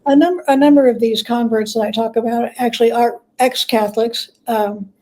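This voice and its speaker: A woman with a fairly high, soft-edged and mellow voice talks as an interview guest. She explains in a conversational way, pausing and feeling for her words as she thinks. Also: steady tone. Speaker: guest author, biographer.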